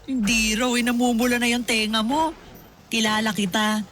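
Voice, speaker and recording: A middle-aged woman playing a warm, teasing mother in a radio drama, chatty and affectionate with her son. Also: a little high, level tone, moderate pace, close to an everyday voice. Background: music.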